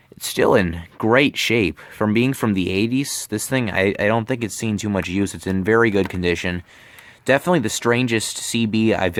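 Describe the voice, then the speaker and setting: A young man with a fairly low voice presents in a casual vlog style. He speaks haltingly, doubling back on words. The sound is close and very dry, recorded in a bedroom on a handheld camera. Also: no room sound. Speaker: hobbyist presenter. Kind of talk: casual product show-and-tell vlog. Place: bedroom, indoors. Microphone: handheld camera, close behind it.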